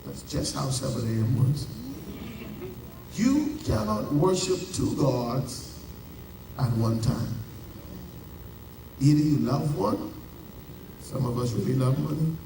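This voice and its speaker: Bahamian male pastor, unhurried, heavy emphasis; distant mic, noisy hall. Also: medium pitch, lively intonation, gravelly. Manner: preaching with dramatic pauses and drawn-out emphasis. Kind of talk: sermon. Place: large church. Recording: echoey church heard from a distance.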